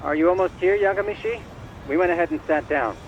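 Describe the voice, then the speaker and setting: An actor playing a young detective speaking over a phone call in a video game. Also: male voice, high voice, fast, soft background music. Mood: eager. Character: young male detective calling ahead. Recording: muffled phone-call sound.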